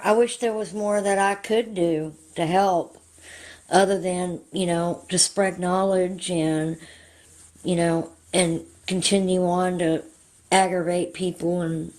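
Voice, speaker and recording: A woman's voice: lowish voice, a little mellow. A woman in her forties calling in to a livestream. She talks hesitantly, with many fillers. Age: 40s. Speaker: caller. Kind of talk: casual chat.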